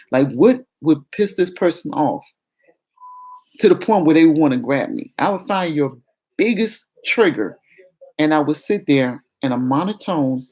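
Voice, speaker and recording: A woman with a fairly deep voice that carries well talks to her viewers. She is frank and confessional in a loose, casual vlog style, and she calls her audience "y'all". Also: a little mellow, poor audio. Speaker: vlogger. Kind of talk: candid casual talk to viewers.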